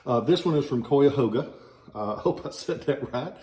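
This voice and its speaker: A man with a light Southern accent talks casually about old ballots, drifting into folksy asides and jokes. His voice sits at an ordinary middle pitch. The recording is roomy, with a clear echo off the walls. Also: expressive, moderate pace, mellow. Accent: slight Southern American. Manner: rambling, folksy, joking asides. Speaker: relic dealer showing ballots. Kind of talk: casual informational video. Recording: echoey room.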